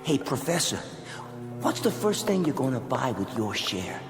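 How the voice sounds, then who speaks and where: A man's voice: medium pitch, very animated, moderate pace. A man playing a plain-talking, admiring robber henchman in a radio drama, colloquial and eager. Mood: eager, curious. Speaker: actor in audio drama. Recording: clear music underneath.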